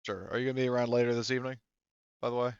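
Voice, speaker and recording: A man's voice: medium pitch, little pitch movement, very fast, clear, resonant tone, no echo, muffled recording. A man speaking casually and informally as he referees a tabletop roleplaying game. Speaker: game master.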